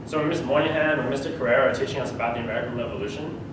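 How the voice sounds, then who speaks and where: A man's voice: mid-range voice, very fast, noisy background. A teenage boy with an Australian accent giving a humorous, conversational speech to his class. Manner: humorous, conversational, laughs mid-sentence. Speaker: student speaker. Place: school classroom. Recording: echoey room, far from the mic.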